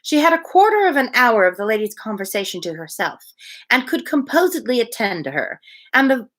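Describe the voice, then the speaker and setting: A woman reading a novel aloud on a livestream, breaking into playful, casual commentary. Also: a little high. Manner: playful, animated. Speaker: reader, livestream host.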